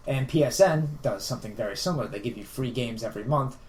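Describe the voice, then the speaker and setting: A man's voice: mid-range voice, fast, darkish tone. A man co-hosting a podcast, explaining things assertively in casual discussion with friends. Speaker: podcast co-host.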